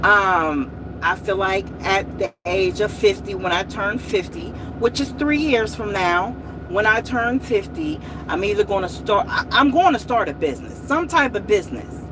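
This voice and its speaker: A woman's voice: mid-range voice, animated, normal speed, background noise. A woman in her late forties hosting a motivational vlog, speaking in a repetitive, encouraging way and often saying y'all. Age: 40s. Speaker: host.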